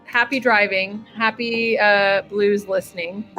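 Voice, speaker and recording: A woman's voice: medium pitch, fairly even intonation, slow, bright, forceful tone, soft background music, rough recording. A woman hosting a webinar in casual chat over a video call, bubbly and laughing often. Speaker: webinar host.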